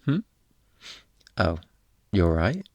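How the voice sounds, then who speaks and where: Smug, flirty low-voiced thief played by a man. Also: big pitch swings, extremely slow, dry recording, full character voice. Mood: flirty, teasing. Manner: teasing, insinuating, mock-innocent, theatrical. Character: smug, flirty master thief taunting a detective. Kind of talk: ASMR roleplay acting.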